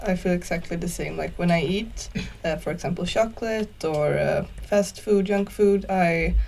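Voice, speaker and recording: A woman's voice: on the low side, moderate pace, creaky, a little mellow, a little hiss or hum. A young woman from Sweden chatting agreeably on a volunteer radio show. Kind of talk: casual radio chat.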